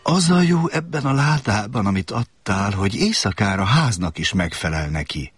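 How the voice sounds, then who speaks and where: A man voicing a small, gentle boy prince from a tiny planet, speaking high and full of wonder. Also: big pitch swings, breathy edge, no echo.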